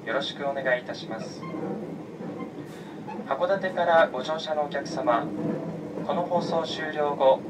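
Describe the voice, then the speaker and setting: A man's voice: on the high side, a little slow, pauses often. A male conductor politely greeting passengers and introducing himself over a train's public address system. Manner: courteous, formal greeting. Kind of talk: formal train announcement. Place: inside a moving train. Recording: distant PA speaker, loud train noise.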